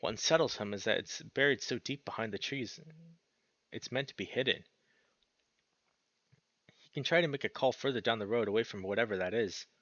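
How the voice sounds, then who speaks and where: A man reading a visual novel aloud as expressive narration, breaking off into casual, sweary, slangy reactions. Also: lowish voice, a little fast, pauses often, dry recording, dull audio. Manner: animated, sweary, slangy interjections.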